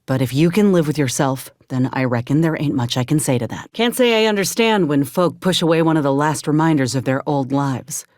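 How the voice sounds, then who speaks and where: An actress playing a gruff, loyal sci-fi soldier and medic in a video game, speaking in a folksy, plainspoken, measured way. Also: female voice, low voice, a little mellow, no echo, studio quality, close to an everyday voice. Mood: grieving, reproachful. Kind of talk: video game companion dialogue.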